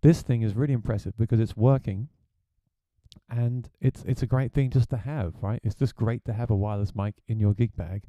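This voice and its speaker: A man with a deep voice, a gigging musician, chatting plainly through a gear review. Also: fairly even intonation, a little fast, warm tone, no echo, poor audio.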